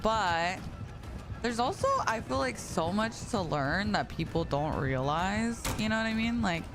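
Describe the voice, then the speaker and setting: A tired young woman chatting casually on a live stream, greeting viewers by name with lots of "like" and "you know". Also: medium pitch. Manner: chatty, reads and greets chat, frequent likes. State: tired from staying up late. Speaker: livestreamer.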